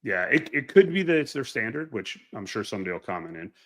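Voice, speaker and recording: A man's voice: mid-range voice, sing-song, fast, sharp tone. A man, an airline pilot on a casual panel, talking informally and often breaking off mid-thought to hedge.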